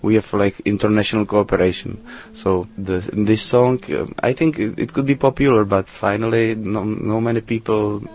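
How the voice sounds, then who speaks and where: A man answering interview questions in halting English, full of fillers and restarts. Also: fairly low pitch, a little mellow. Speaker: interview guest, DJ.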